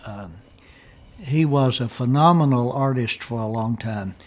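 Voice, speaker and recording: An older man talks in a casual, reminiscing way. His voice sits at a middle pitch. He moves haltingly, as if feeling around for names and details. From Southern US, Tennessee and Kentucky. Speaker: guest country singer and storyteller.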